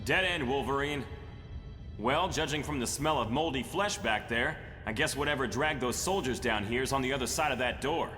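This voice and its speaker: A man's voice: medium pitch, normal speed, sharp tone, lightly acted. A man playing an earnest, decisive mutant team leader in a comic-book drama, speaking directly. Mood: focused. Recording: long reverb, music behind.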